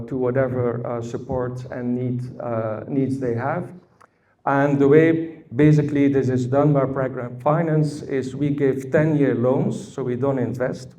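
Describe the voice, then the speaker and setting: A Belgian man giving a conference workshop talk, speaking deliberately with frequent fillers and storytelling asides. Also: mid-range voice, warm tone. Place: conference hall.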